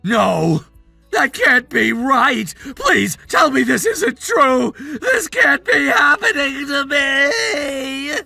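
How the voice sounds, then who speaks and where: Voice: sits high, very animated, slow pace, gravelly, long pauses, pushed and bright, no echo, soft background music, full character voice. A performer voicing a spoiled, entitled young adult son in a melodramatic story, whiny and indignant. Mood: desperate. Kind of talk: melodramatic narrated story dialogue.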